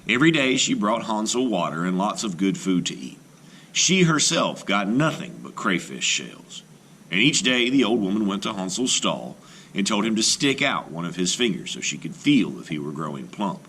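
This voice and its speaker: A man, a teacher, reading a folktale aloud for children with expressive narration. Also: low voice, moderate pace, slight background noise. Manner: expressive, rising and falling with the tale. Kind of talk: storybook read-aloud narration.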